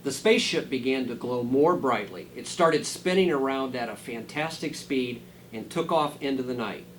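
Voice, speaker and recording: A man reading a children's storybook aloud dramatically, dropping into quieter asides to his listeners. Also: mid-range voice, animated, moderate pace, slight background noise, low-quality recording. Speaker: school superintendent reading a picture book aloud.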